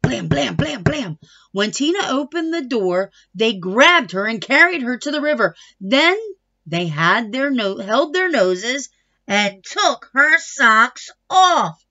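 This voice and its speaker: Female picture-book reader, middle-aged, slow and emphatic, slightly dull webcam sound. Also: fairly high pitch, big pitch swings, pushed and bright. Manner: emphatic, stretches words, asides to listeners. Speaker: read-aloud storyteller. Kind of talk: expressive children's picture book reading. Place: small wood-panelled room at home.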